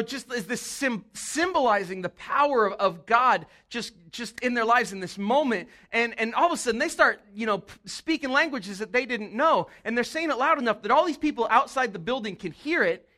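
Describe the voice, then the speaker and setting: A male pastor giving a casual, animated sermon with quick asides. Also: extremely high pitch.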